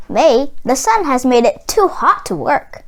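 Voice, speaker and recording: A woman's voice: high voice, lively intonation, measured pace, gravelly, exaggerated voice. A woman voicing a lovelorn, earnest young peasant man in a storybook, with dramatic delivery. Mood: puzzled. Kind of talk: storybook read-aloud character voice.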